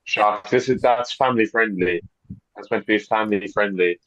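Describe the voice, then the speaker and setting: A man's voice: lowish voice, normal speed, a bit bright, no room sound, dull audio. A man co-hosting a podcast chat with dry jokes and mock grumbling. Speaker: podcast co-host. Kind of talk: casual chat over a video call.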